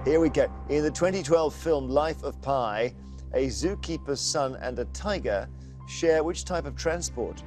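A man's voice: on the high side, a little slow, music in the background. A middle-aged man hosting a TV quiz show in a studio, chatty and jokey. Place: TV studio set.

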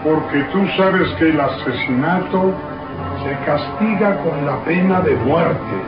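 High-pitched, stern voice of doom. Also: male voice, slow. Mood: stern, ominous. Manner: solemn, declamatory. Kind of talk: old radio drama acting. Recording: dull lo-fi old radio recording. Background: loud dramatic music.

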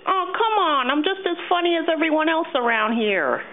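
A woman's voice: sits high, lively intonation, moderate pace, pitched above the performer's own voice. A woman voicing a touchy, whiny male sock puppet in a comedy, nasal, stammering and argumentative, on a thin phone-like recording. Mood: pleading. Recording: thin phone-like sound, faint noise.

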